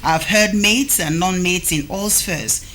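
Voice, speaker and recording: A woman hosting a TV studio interview, speaking in a measured way and reading poems aloud slowly. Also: fairly low pitch, a bit bright, a little hiss or hum. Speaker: TV talk show host.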